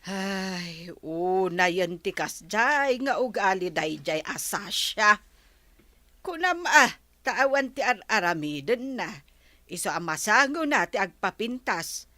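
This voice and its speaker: A woman's voice: medium pitch, sing-song, slow pace, pushed and bright, dry recording, heavily acted. A woman playing an older, nosy but sympathetic neighbour in a Philippine radio drama. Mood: indignant.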